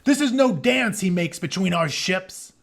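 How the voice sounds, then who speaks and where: A man voicing a defiant Greek warrior from a storybook, shouting to rally his comrades. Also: sits high, expressive, a little slow, voice pushed up, full character voice. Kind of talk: dramatic storybook read-aloud.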